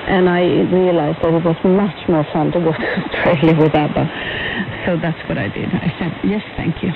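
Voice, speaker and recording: A woman's voice: low-pitched, fairly even intonation, moderate pace, creaky. Noisy shortwave radio, woman reminiscing. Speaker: interview guest, museum curator. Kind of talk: conversational reminiscing. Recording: noisy, narrow-band shortwave radio broadcast.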